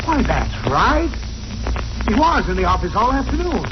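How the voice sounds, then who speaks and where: An actor playing a blustering, self-important middle-aged company boss with an American accent, in old-time radio comedy style. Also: male voice, extremely high pitch, sing-song, normal speed. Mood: brisk, gruff. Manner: pompous, indignant. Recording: muffled, noisy vintage radio recording.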